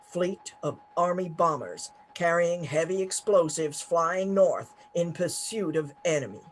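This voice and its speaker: High-pitched radio news announcer played by a man. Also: measured pace. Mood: grave, urgent. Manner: formal, telegraphic reading. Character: urgent, formal radio news announcer reading bulletins. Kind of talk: 1930s radio news acting.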